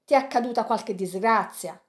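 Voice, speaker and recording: A woman reading an audiobook, voicing a loyal, excitable male pirate friend who speaks in a raised, pleading tone. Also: normal speed, lightly acted. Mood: anxious. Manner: excited, pleading, raised and higher than the narration.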